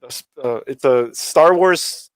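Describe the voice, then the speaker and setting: A man's voice: medium pitch, takes it slowly, a little hiss or hum. A man co-hosting a podcast in casual banter, talking in a slangy way and swearing freely. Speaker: podcast co-host.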